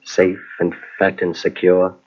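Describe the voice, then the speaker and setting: An actor playing a sly inner voice of evil that tempts and commands in old-time radio mystery style. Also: male voice, low-pitched, monotone, darkish tone, faint music behind. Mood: gloating, sinister. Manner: insinuating, slow commanding repetitions. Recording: dull lo-fi old radio cassette recording.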